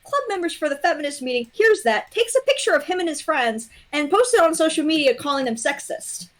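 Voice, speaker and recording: High-pitched blunt young woman chatting. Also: big pitch swings, fairly quick. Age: young adult. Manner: blunt, profane. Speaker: guest on a development livestream. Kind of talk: casual chat.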